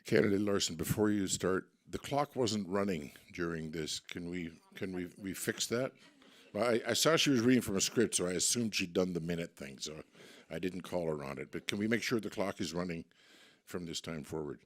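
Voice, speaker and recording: Deep-voiced stammering male moderator. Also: moderate pace. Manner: stammering, joking, informal. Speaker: forum moderator. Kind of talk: moderating a candidates forum. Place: community recreation center hall.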